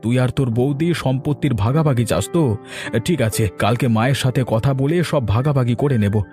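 A man voices a fed-up younger brother from a village cartoon. His tone is dark and his delivery firm and reproachful. Faint music sits underneath. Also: mid-range voice, normal speed, close to an everyday voice. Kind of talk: cartoon voice acting.

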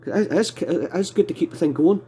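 A Scottish man with a husky voice, chatting casually as an amateur radio operator. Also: sits high, expressive, fairly quick, warm tone. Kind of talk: casual two-way radio chat. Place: indoors at a home radio desk.

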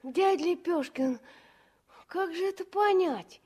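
A little girl in a 1960s radio play, curious and eager. The voice is high and childlike, and the words come slowly, full of wonder. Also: a little mellow. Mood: puzzled, curious. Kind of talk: 1960s Soviet radio drama acting.

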